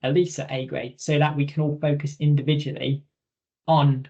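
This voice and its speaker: A man's voice: a little high, flat delivery, normal speed, vocal fry, darkish tone, highs cut off. A man presenting a training webinar in an informal, direct, conversational way, emphasising points through repetition.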